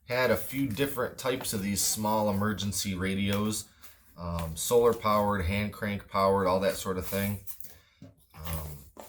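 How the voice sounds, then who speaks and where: A man's voice: on the low side, a little hiss or hum. A man talking through a product in a casual, unscripted review, matter-of-fact and understated.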